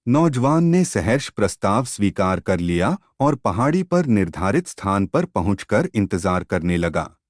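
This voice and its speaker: A man narrating a scripted moral tale in a measured, expressive storytelling manner. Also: fairly low pitch, no echo, clean studio sound.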